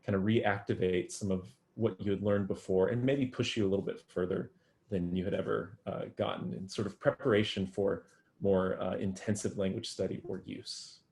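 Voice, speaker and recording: Low-pitched male academic, thoughtful, hesitant delivery with frequent fillers. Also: fairly even intonation, normal speed, vocal fry, a little mellow, a little hiss or hum. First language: English. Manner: thoughtful, many ums and restarts. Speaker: guest researcher. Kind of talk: academic interview answers.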